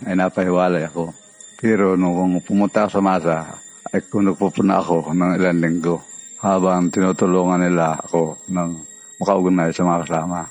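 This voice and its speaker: Deep, flat-toned man. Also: low-quality recording. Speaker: guerrilla fighter giving testimony. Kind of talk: spoken testimonial.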